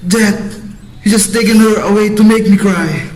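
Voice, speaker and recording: A man's voice: sits high, fairly even intonation, moderate pace, darkish tone, close to an everyday voice. A man playing a commanding lord and father in an amateur radio drama, speaking in a declamatory way. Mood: grieving. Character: bossy, impatient lord and father, later grieving. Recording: echoey room.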